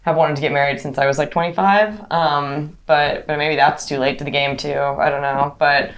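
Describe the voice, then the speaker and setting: A woman's voice: low voice, steady tone, normal speed. A woman in her late twenties co-hosting a casual conversation, hesitant, with many fillers and restarts. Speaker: co-host.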